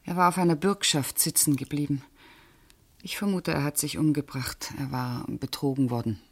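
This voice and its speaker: A woman's voice: low voice, normal speed, slightly rough. A composed female bank official in a radio play. She is exacting and guarded, giving restrained, precise answers in short phrases and keeping firm control of herself. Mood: controlled, terse. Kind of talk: German radio play acting.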